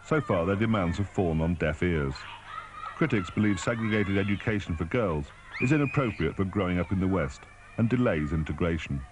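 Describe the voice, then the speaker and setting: Man, British accent, deep pitch, scripted news narration, faint noise. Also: animated, a little fast.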